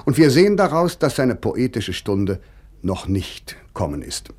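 An actor playing a sharp-witted young male writer and critic, reading rhetorically and emphatically in a German radio feature. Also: medium pitch, very animated, measured pace, husky. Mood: mocking irony.

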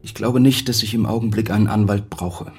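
An actor playing a nervous, evasive homeowner in a radio play, hesitant and flustered, with a very husky, breathy voice. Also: male voice, on the low side, steady tone, brisk, music in the background, natural voice. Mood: defensive. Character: nervous, evasive male homeowner hiding his guilt.

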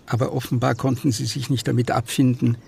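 Very husky, gentle, sorrowful man. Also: medium pitch, flat delivery, normal speed, heavily acted. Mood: quiet sorrow. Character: sorrowful, gentle man who tends stray animals. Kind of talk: German radio play acting.

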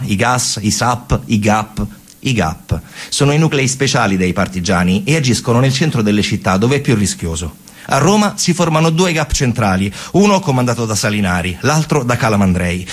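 A man performing a theatrical narrative monologue, conversational, with deliberate repetitions and pauses. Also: mid-range voice, husky. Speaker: stage actor and storyteller.

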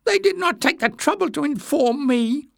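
A man voicing a whining, cowardly little schemer in a shrill, squeaky, spluttering storybook voice. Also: animated, normal speed, creaky, no room sound, studio quality, exaggerated voice. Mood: sullen complaining. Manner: spluttering, whining, melodramatic pleading. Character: whining, cowardly small male schemer, screechy and wheedling. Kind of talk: acted character voice in audiobook narration.

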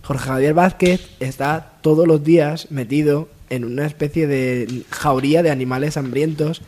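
Casting: young male comedy radio panelist, Spain accent, slightly raised pitch, loose casual banter. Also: steady tone, moderate pace, a little mellow, a little hiss or hum. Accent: Spanish from Spain. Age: young adult. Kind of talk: casual joking panel chat.

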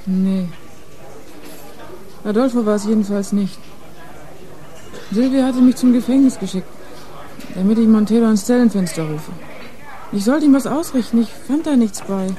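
Woman acting a streetwise teen club girl in a crime radio play, soft dark tone, slangy and blunt. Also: a little high, normal speed, pauses often, close to an everyday voice, rough recording. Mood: agitated, defensive. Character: streetwise nineteen-year-old woman, drug-using club girl informant.

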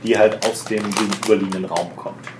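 A man's voice: lowish voice, steady tone, a little fast. A man narrating a tabletop roleplaying game as game master, explaining the scene to his players. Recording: echoey room with clear background noise.

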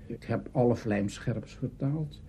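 Unhurried, mellow older man reminiscing. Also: mid-range voice. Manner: halting, searching memory, spells out names. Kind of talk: reminiscing talk. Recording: dull, faintly noisy archive recording.

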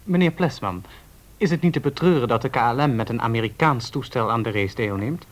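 An actor playing an earnest, challenging young newspaper reporter of the 1930s in a Dutch radio drama, formal and declamatory. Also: male voice, medium pitch, fairly quick, lightly acted.

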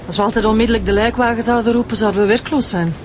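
A woman playing a brisk, practical ambulance worker. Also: on the high side, steady tone, noisy background, close to an everyday voice. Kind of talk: radio drama acting. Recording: music under dialogue.

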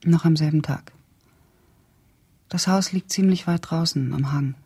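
A woman's voice: deep voice, gravelly, warm tone, slightly rough, full character voice. An actress playing an educated young Russian woman who recalls events reflectively and precisely in a radio drama. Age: young adult. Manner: reflective, precise, descriptive storytelling. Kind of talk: radio drama monologue as recollection.

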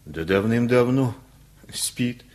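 An actor playing an easygoing older working man with a husky voice and folksy, unhurried speech in a radio play. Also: medium pitch, darkish tone, natural voice. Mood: gruff, fatherly.

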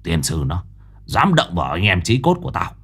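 A man doing a gruff, cocky ghost brawler. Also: low voice, wildly swooping pitch, normal speed, voice pushed down, full character voice. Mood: gruff resolve. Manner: gruff, brusque, clipped. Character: gruff tough middle-aged man, cocky ghost fighter.

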